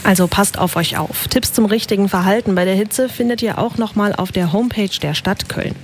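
A woman presenting the morning radio news in a steady, crisp delivery from a studio. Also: mid-range voice, slight background noise.

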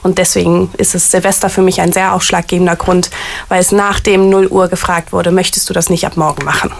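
A woman's voice: lowish voice, little pitch movement, brisk, gravelly. A woman answers interview questions about her job. She is chatty and eager, and her speech is loose and full of fillers. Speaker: artist liaison at a variety theater.

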